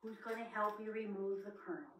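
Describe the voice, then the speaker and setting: A woman's voice: mid-range voice, brisk, fairly bright tone, close to an everyday voice. An older woman voicing a hard-working, stubborn little burro who calls on friends in a children's storybook. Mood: eager, asking. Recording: echoey room, distant microphone.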